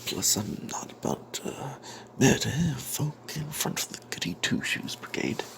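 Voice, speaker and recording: A man tells a tabletop fantasy adventure as an in-character narrator, in a breathy, hushed, secretive ASMR whisper with dramatic pauses. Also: mid-range voice, very animated, a little hiss or hum. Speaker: narrator telling the tale in character as a cleric.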